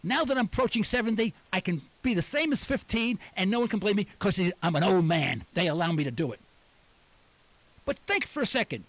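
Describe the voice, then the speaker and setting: A man's voice: high-pitched, big pitch swings, a little fast, fairly bright tone, dry recording. A man in his late sixties hosting a talk radio show, delivering an emphatic monologue and repeating words for stress. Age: 60s.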